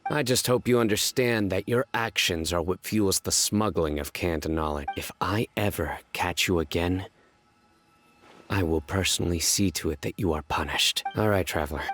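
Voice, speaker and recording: An actor playing a cold, commanding male investigator in a video game, speaking in a measured, clipped way. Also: low voice, a bit bright, soft background music. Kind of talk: game voice acting.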